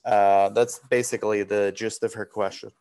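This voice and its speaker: Man, low pitch, webinar moderator, casual, chatty, informal. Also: little pitch movement, moderate pace, a little mellow. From born in Romania, raised in the US from age three. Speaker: webinar host. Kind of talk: casual moderating, questions and thanks.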